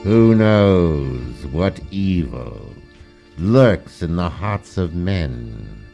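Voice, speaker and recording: A man playing a sinister, mocking avenger with slow, ominous delivery in an old-time radio mystery. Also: low voice, very animated, vocal fry, warm tone, muffled recording, soft background music, exaggerated voice.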